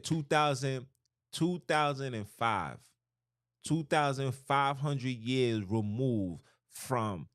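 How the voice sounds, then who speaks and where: A man's voice: mid-range voice, no room sound, clean studio sound. A man hosting a podcast, talking casually and loosely about scripture and language, with slang and lots of "you know what I'm saying". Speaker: podcast host. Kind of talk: casual religious talk.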